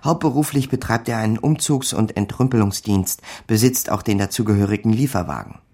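A man's voice: fairly low pitch, animated, a little fast, a little mellow. A man acting as a wry small-time crook, narrating his own misadventure dryly in a radio play. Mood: wry, tense. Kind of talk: scripted first-person narration in a crime radio play.

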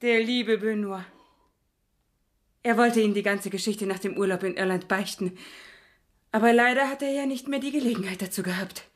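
An actress in a radio play playing an unhinged, possessive murderess, taunting and dramatic, turning from sweet to venomous. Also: female voice, mid-range voice, normal speed, long pauses, close to an everyday voice. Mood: dreamy, confessional. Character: unhinged murderess, possessive, mocking, chillingly sweet about killing.

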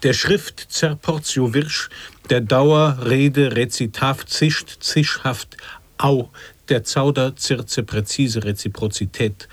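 An actor playing a pompous declaimer of nonsense verse in an avant-garde radio play, with emphatic, chopped, incantatory recitation. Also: male voice, mid-range voice, takes it slowly, no echo. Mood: declamatory.

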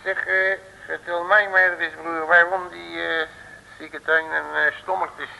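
A man playing a cheeky, unflappable detective in an old radio play, folksy and sardonic, with a gravelly voice. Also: fairly high pitch, flat delivery, unhurried, sharp tone, slight background noise, heavily acted, low-quality recording. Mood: mocking. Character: cheeky, unflappable working-class male detective. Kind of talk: 1950s Dutch radio play acting.

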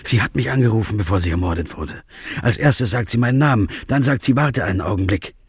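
A haunted journalist, as a man plays him in a radio drama, narrating his own downfall. His voice is very deep and moves widely in pitch. He presses his case with tense, paranoid insistence. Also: lively intonation, fast, a little hiss or hum, natural voice. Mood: desperate. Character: hunted male journalist, haunted and desperate. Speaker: first-person narrator. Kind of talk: radio play acting and narration.

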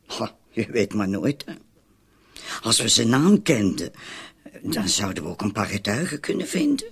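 A man playing an anxious, easily exasperated club madam with a husky voice in a radio drama. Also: on the low side, normal speed, exaggerated voice. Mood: fretful. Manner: fretful, excitable.

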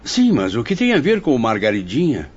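A man's voice: medium pitch, huge pitch swings, normal speed, husky. An actor playing a grave, attentive man in a spiritist radio drama. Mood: questioning. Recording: dull radio drama mix over music.

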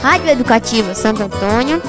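Female radio narrator, fairly high voice, scripted delivery, loud music bed. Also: lively intonation, a little fast.

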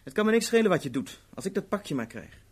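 A man's voice: high voice, big pitch swings, fast talker, slightly rough, full character voice. A man acting in a 1970s Dutch radio play as an urbane, wry agent who delivers threats politely and matter-of-factly. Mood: cool threat. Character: urbane, coolly menacing male agent of a ruthless organisation.

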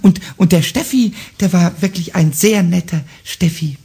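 A man voicing a small, cheeky, excitable kobold with a squawky rasp and an eager stammer, in a German radio play. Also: high voice, normal speed, full character voice. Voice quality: raspy, squawky. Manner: stammering, repeating words excitedly.

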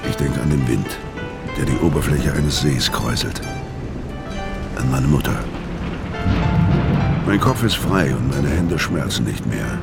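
An actor playing a weary, aging ex-killer in a radio drama, terse and grave, with a very deep gravelly voice. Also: male voice, unhurried, long pauses, loud music behind the voice, full character voice. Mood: somber, reflective. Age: older. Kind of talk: radio drama dialogue and first-person narration.

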